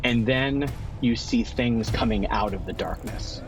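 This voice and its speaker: A man narrating a tabletop roleplaying game as game master, descriptive and pausing for dramatic effect. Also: medium pitch. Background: music bed.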